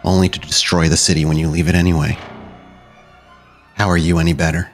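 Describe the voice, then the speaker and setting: A man's voice: deep voice, flat delivery, fairly quick, faint music behind. A man voicing a dead vampire sire speaking from a mirror in a tabletop roleplay, needling and grandiose, pressing with rhetorical questions. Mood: goading, grand.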